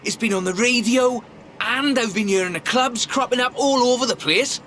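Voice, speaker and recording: A man's voice: extremely high pitch, normal speed, bright, forceful tone, a little hiss or hum. An actor playing an enthusiastic, posh British drift club man in a video game, with a theatrical, genteel way of talking. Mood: excited. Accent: British English. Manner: effusive, theatrical, genteel wording. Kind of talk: video game character dialogue. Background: music and car sounds.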